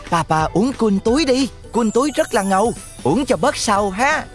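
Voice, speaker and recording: A performer voicing a cheeky, playful little boy with a teasing, childlike manner in an animated cartoon. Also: medium pitch, moderate pace, faint music behind, close to an everyday voice.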